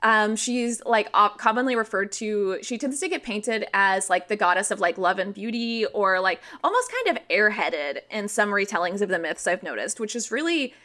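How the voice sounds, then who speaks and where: A woman guest on a podcast with a bright, crisp-edged voice at an average pitch. She explains things casually, haltingly working out her phrasing as she goes.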